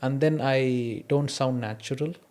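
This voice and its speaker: Male podcast host, Indian accent, non-native English, mid-pitched voice, self-questioning and candid in conversation. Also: a little slow, darkish tone. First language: an Indian mother tongue, not English. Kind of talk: candid conversation, personal anecdotes.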